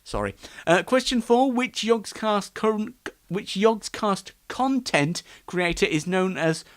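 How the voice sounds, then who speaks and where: A man presents a homemade radio show in a casual, rambling manner. His voice sits fairly high and swings about animatedly. He keeps gently putting himself down as he goes. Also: expressive, takes it slowly, dry recording. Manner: rambling, self-deprecating. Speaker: host of an online radio show. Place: home studio and downstairs rooms. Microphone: switches between wireless and studio microphones. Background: background music in places.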